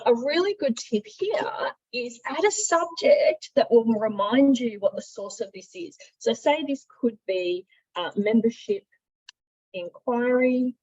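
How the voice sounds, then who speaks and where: An Australian woman presenting a conversational software training demonstration, explaining tips step by step and stressing the key points. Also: on the high side, breathy edge. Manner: explanatory, emphasising tips, checking in with "right?". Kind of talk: instructional screen-share presentation, conversational. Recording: dull, boxy, echoey room sound.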